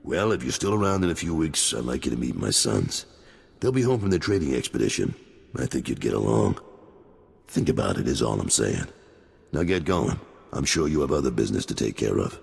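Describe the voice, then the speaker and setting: An actor playing a gruff older colonel in a video game cut-scene, with a raspy, gravelly voice and blunt, drawling orders. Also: male voice, extremely low pitch, very animated, fairly quick, heavily acted. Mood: gruff praise. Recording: long hall reverb.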